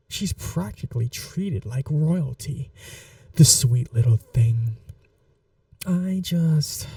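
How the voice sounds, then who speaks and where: Woman playing a slow, dark-voiced vampire mistress. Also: low voice, vocal fry, no echo, recorded from a distance, exaggerated voice. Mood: musing, condescending. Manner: slow, teasing, condescending, coaxing, often whispering. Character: seductive, possessive vampire mistress, teasing and domineering. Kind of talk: intimate ASMR roleplay, scripted monologue.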